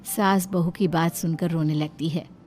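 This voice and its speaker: A woman's voice: deep voice, steady tone, measured pace, a little mellow, a little hiss or hum. A woman storyteller giving a scripted, dramatic narration of a story.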